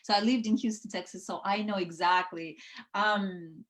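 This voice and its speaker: A woman born in the Soviet Union, Russian her first language, talking on a video call. Her voice sits at a mid pitch. She rambles in a friendly way and fills the gaps with "um" and "you know". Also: fairly even intonation, normal speed. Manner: many fillers and restarts, chatty. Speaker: guest, network founder. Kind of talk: casual conversation.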